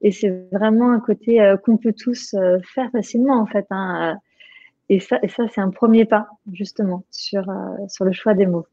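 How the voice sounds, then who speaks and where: A woman's voice: medium pitch, fairly even intonation, moderate pace, warm tone, no room sound. A woman speaking as a guest on an online panel, plain-spoken, with frequent hesitations. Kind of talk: casual panel discussion. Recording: dull dry lo-fi sound.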